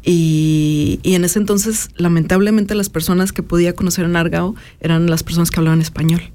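Thoughtful woman with mellow voice. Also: lowish voice, steady tone, fairly quick. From Mexico. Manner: thoughtful, hesitant, many filler sounds. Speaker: interview guest, artist and educator. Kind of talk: personal reflective conversation.

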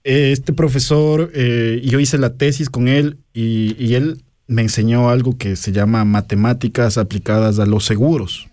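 A man's voice: mid-range voice, fairly even intonation, a little slow, few pauses, darkish tone, no echo, studio quality. A young man with an Ecuadorian accent, answering interview questions casually and explaining things with some hesitation. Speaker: interview guest, researcher. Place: studio.

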